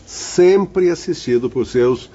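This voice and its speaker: An actor playing a spirit mentor who calmly explains. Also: male voice, a little high, slow. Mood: explanatory. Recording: dull lo-fi audio.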